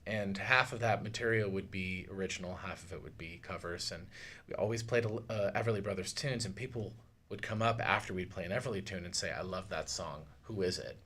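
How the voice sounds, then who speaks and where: A man in his thirties speaking thoughtfully and a little haltingly as a musician in a casual radio interview. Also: deep voice, pushed and bright, slight background noise. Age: 30s. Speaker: musician, tribute duo singer. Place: small radio studio, indoors. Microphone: studio mic on boom arm.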